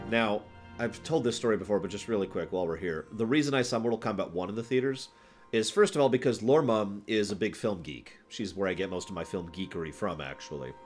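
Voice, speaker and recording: Deep-voiced man rambling on a livestream. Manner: digressive, talks to live chat, emphatic stresses. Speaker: livestream host. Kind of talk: casual rambling stream chat, opinion commentary.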